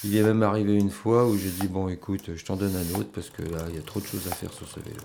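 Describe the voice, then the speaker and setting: A man's voice: sits low, level tone, fast talker, gravelly, background noise. A man talking informally and hesitantly, in an anecdotal way, like a volunteer mechanic interviewed for an audio documentary. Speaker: volunteer bicycle mechanic at a community repair workshop.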